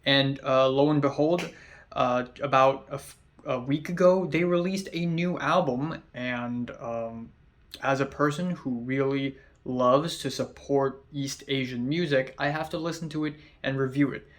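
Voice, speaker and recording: A young man talks through an album review in a loose, chatty way, with plenty of ums and uhs and the odd casual curse. His pitch sits in an ordinary middle range for a man. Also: steady tone, measured pace. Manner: chatty, lots of fillers, casual swearing. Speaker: album reviewer, channel host.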